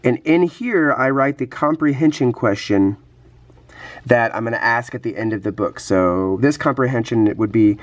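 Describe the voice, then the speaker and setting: Male teacher-vlogger, mid-pitched, slightly hoarse from a cough, easygoing and explanatory. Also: darkish tone. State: a little congested and hoarse from coughing. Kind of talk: casual vlog with tips.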